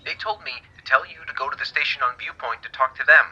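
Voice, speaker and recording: A man's voice: medium pitch, fast, bright, forceful tone, slightly rough. An actor playing an anxious, apologetic funeral home boss, a man heard through a telephone filter. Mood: anxious, urgent. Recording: dull, band-limited phone line.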